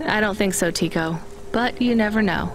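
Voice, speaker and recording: A woman's voice: mid-range voice, moderate pace, background noise, lightly acted. A woman playing a weary, sardonic young immigrant courier in a video game, with a dry, guarded delivery. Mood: gentle, sympathetic. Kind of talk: video game dialogue acting.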